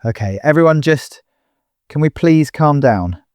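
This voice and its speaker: An actor playing a torn, peacemaking son in an audio drama, hesitant, placating and helpless. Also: male voice, on the high side, lively intonation, measured pace, a little mellow, dry recording, clean studio sound. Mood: distressed, helpless.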